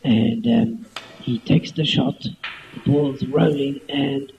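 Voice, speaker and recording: Young man doing a slow, bumbling snooker commentator. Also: medium pitch, fairly even intonation, darkish tone, voice pushed down. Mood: deadpan. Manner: slow, halting, muddled. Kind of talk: spoof sports commentary. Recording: dull, noisy homemade tape.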